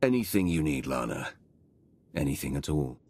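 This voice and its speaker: A commanding male Sith lord in a game cutscene. His voice is extremely deep and heavily gravelled, with a husky rasp, and he speaks tersely and deliberately as a stern but supportive superior. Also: very animated, darkish tone, exaggerated voice. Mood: measured. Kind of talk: dramatic video game cutscene acting.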